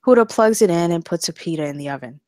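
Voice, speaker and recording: A woman with a notably low voice reads screenplay action lines. It is scripted narration for a table read. The sound is dry, with little room around it. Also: lively intonation, quick pace, darkish tone, dry recording. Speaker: stage directions narrator.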